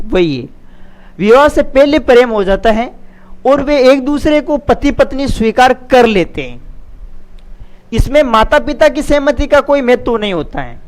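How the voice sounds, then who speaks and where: A man teaching students in an exam-prep lecture, repeating key phrases and adding check-in tags in a didactic way. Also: sits high.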